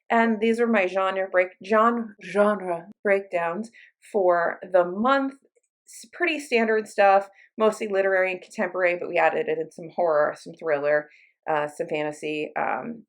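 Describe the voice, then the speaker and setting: Casting: female book vlogger, medium pitch, casual and chatty, loosely conversational delivery with self-interruptions. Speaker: book reviewer, video channel host. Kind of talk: casual chatty vlog talk to viewers.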